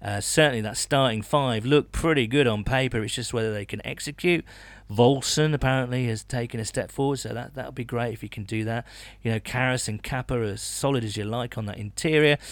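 A man co-hosting a sports podcast in casual chat, halting and often repeating his words as he goes. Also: medium pitch, no room sound. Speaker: podcast co-host.

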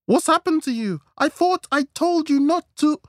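A man voicing a highly strung, hot-tempered suburban wife, shrill and emotional in a comic story. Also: sing-song, slow pace, a little mellow, dry recording, clean studio sound, full character voice. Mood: furious.

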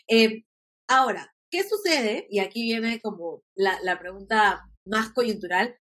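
A woman's voice: on the high side, a bit bright, dry recording. Woman hosting a podcast, dry sound. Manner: articulate, measured, affirming the guest. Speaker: podcast host. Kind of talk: podcast interview.

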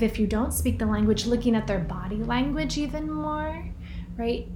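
A woman hosting a reflective podcast, talking casually and gently to her listeners and often checking in with "right?" and "you know.". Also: a little high, reverberant, a little hiss or hum. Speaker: podcast host and workshop leader. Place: staying in a rented home.

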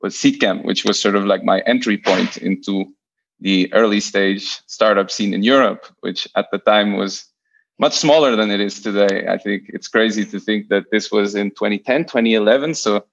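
A man's voice: lowish voice, normal speed, creaky, lo-fi sound. A man from Portugal in his thirties, a venture investor telling his career story in a podcast interview, hesitant with many repeated starts.

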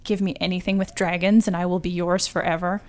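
A woman's voice: lowish voice, flat delivery, quick pace, a little mellow. A woman writer talking casually about fiction as a guest on a discussion podcast.

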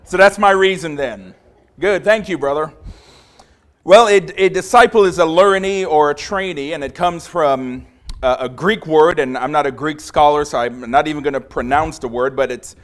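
A man in his forties from Trinidad, preaching to a congregation in a small room. He speaks with growing passion and a big voice, with many filler pauses. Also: a little high. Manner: passionate, grows louder as he preaches. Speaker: visiting preacher. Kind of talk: sermon.

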